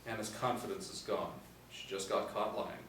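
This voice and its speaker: A young man narrates screenplay directions at a table read. His voice sits slightly low, picked up from a distance in an echoey room. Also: steady tone, normal speed, fairly bright tone. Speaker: narrator reading stage directions. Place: small meeting room, seated in a row. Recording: echoey room, distant mic, faint noise.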